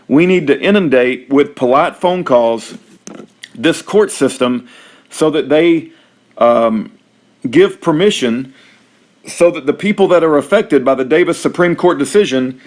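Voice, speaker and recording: A man with a slightly high voice delivers an informal announcement. He sounds earnest and polite, moving between reading a script and speaking off the cuff as he urges people to act. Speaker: activist urging listeners to call a court.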